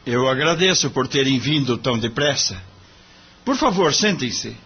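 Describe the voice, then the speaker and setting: Courteous young male Brazilian lawyer played by an actor for radio drama, mid-pitched, lively inflection, muffled recording. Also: moderate pace, breathy edge. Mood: courteous, formal. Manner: formal, polite, earnest. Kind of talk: audio drama acting. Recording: muffled lo-fi audio, background noise.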